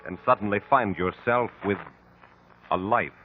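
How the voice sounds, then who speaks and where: A man's voice: on the low side, moderate pace. A man with an American accent narrating in a theatrical, portentous 1950s radio style. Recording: tinny old radio with loud music. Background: music.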